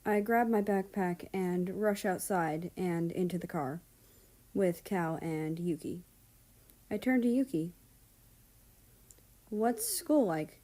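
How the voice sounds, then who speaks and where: A woman's voice: on the low side, a little slow, mellow. A woman reading fan fiction aloud as a first-person narrator.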